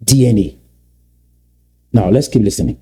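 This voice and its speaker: A man's voice: on the low side, lively intonation, moderate pace, dark tone. A middle-aged man with a Cameroonian English accent, giving commentary on clips and lecturing with rhetorical questions.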